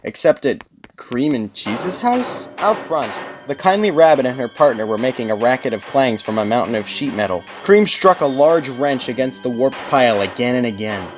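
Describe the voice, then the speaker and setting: Male audiobook narrator: dramatic scripted storytelling, mid-range voice, clear music bed. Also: medium pitch, expressive, normal speed.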